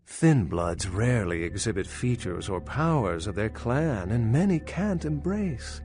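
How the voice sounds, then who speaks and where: An erudite, lilting vampire scholar. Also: male voice, lowish voice, very animated, measured pace, darkish tone. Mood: lecturing, skeptical. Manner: articulate, lecturing, wry, pedantic. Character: centuries-old male vampire scholar, erudite, skeptical, dryly condescending. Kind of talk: video game dialogue acting.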